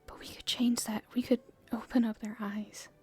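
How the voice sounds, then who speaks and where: A woman playing an earnest, hopeful young princess in a soft, halting, breathy whisper for an ASMR roleplay. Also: fairly high pitch, level tone, faint music behind. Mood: thoughtful, hopeful. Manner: halting, soft-spoken, thinking aloud.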